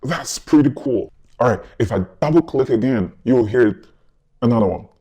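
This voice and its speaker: A man's voice: mid-range voice, lively intonation, moderate pace, mellow, husky, voice pushed down. A man talking as a powerful male figure through a voice changer, demonstrating a gadget casually. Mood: demonstrative.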